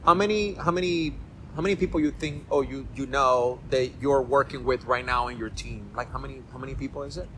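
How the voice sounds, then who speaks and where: A middle-aged man hosts a relaxed interview podcast. His voice sits at an ordinary pitch, and he loops back over his own words as he talks. A soft hum of background noise lingers underneath. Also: fairly quick, low-quality recording. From Puerto Rico. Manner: rambling, repeats words and restarts often. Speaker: podcast host. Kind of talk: casual interview chat.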